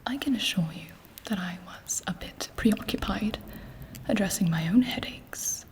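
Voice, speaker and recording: An imperious vampire queen, acted out by a woman for an ASMR roleplay. She whispers breathily and very slowly, with measured pauses. Her manner is haughty, seductive and contemptuous, that of a schemer used to command. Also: medium pitch, natural voice. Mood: haughty, bitter.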